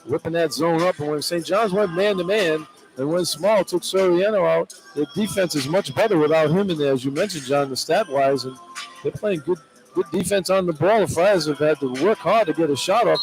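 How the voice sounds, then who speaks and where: A man's voice: a little high, a little fast, over music, low-quality recording. An American man giving colloquial, tactical color commentary on a live radio basketball broadcast. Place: courtside at an indoor arena. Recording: clear arena noise behind broadcast commentary. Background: arena ambience.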